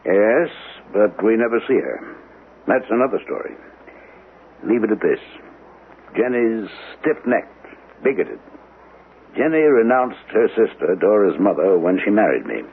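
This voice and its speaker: An actor playing a thoughtful, sardonic husband in a radio mystery, measured and pointed. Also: male voice, on the low side, big pitch swings. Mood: wry, bitter. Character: thoughtful, wry husband with an old family grudge. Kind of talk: radio mystery drama acting. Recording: muffled old radio broadcast, faint noise.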